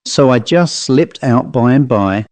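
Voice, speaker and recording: A man's voice: mid-range voice, expressive, unhurried, darkish tone, no echo, natural voice. A man reading in character as a chatty, genial commercial traveller telling an anecdote in colloquial style for an audiobook ghost story. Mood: uneasy, confiding. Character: dapper commercial traveller, chatty male raconteur of ghost stories.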